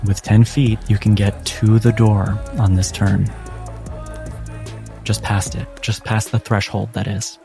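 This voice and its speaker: A man with a lowish voice runs a tabletop game as game master. He narrates slowly and dramatically, with clear music playing under him. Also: little pitch movement. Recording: music behind the voice.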